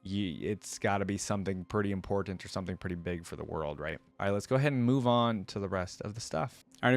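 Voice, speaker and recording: A man hosting a reaction video, giving casual, informal commentary like an enthusiastic fan. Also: lowish voice.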